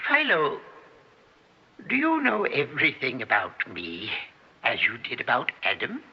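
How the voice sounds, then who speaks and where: An actor playing a quietly menacing colleague turned gunman in an old-time radio drama, deliberate and husky-voiced. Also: mid-range voice, huge pitch swings, heavily acted. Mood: pointed, ominous. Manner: deliberate, pointed questioning. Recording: dull, band-limited old radio recording.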